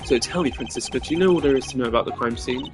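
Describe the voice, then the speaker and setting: A poised, aloof male prosecutor played by a man, speaking in formal, measured sentences with dry confidence. Game music plays clearly underneath. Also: mid-range voice, natural voice. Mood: composed.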